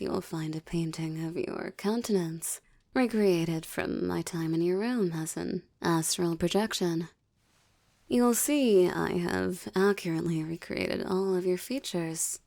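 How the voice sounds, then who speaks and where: Seductive demoness for audio roleplay, acted by a woman: lowish, creaky, ornate diction, teasing and menacing, dry intimate sound. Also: warm tone, dry recording. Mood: smug, proud. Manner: slow, breathy, formal and ornate diction, teasing. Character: seductive, menacing adult female succubus demon, teasing and possessive. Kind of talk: intimate audio roleplay, ASMR-like monologue addressed to listener.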